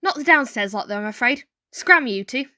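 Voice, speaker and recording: A woman's voice: high voice, lively intonation, a little fast, bright, forceful tone, heavily acted. A woman playing a sarcastic, drawling household maid in a comedy audio drama. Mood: cheeky. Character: sardonic, cheeky household maid. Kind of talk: hobby comedy murder-mystery audio drama.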